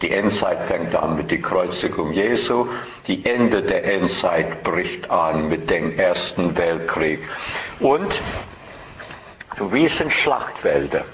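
A man giving an impassioned lecture on theology and culture. He speaks German with non-native grammar, repeats his key phrases, and his voice rises dramatically at the high points. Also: animated, takes it slowly, fairly bright tone. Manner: dramatic, emphatic, repeats key phrases, voice rising sharply at climaxes. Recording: roomy echoey hall.

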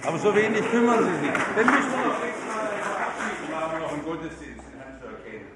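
A man makes wry, quick remarks during a church service. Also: a little high, expressive, over a noisy crowd. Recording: echoey church, distant mic, room noise.

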